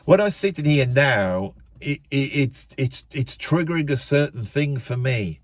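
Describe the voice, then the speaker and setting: A man ranting into a camera in his parked car, loud and emphatic, repeating himself, his nose a little blocked up. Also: mid-range voice, lively intonation, measured pace, no echo. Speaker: YouTube channel host. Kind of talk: impassioned monologue to camera. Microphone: camera set up in the car.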